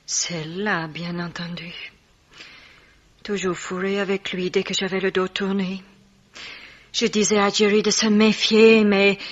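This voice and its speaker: An actress playing a proud, jealous bereaved woman in a radio mystery, curt, haughty and breathy. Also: medium pitch, fairly bright tone, natural voice. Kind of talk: French radio mystery drama acting. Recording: dull vintage-style radio recording.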